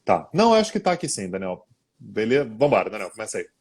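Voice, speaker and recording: A man's voice: fairly low pitch, big pitch swings, fast talker, darkish tone, breathy edge. A man co-hosting a live-streamed podcast, joking and teasing in an informal way with casual swearing. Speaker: podcast co-host. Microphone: streaming live on social media.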